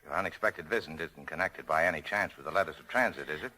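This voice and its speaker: A man's voice: rumbling low, fast, bright, forceful tone, exaggerated voice, low-quality recording. An actor playing a bitter, world-weary, hard-boiled man, curt and sardonic, in a 1940s radio drama. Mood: bitter, sarcastic. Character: bitter, cynical, world-weary American cafe owner, jilted lover. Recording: old 1940s radio broadcast.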